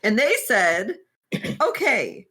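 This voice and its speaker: Bright-voiced woman, profane and jokey. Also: medium pitch, very animated, extremely slow. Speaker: podcast panelist. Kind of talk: casual fan podcast chat.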